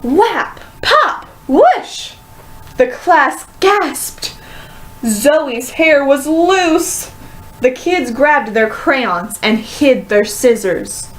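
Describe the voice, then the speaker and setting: Very slow, expressive woman storybook reader. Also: sits high, low-quality recording. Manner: dramatic, deliberate phrasing. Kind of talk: expressive children's read-aloud narration.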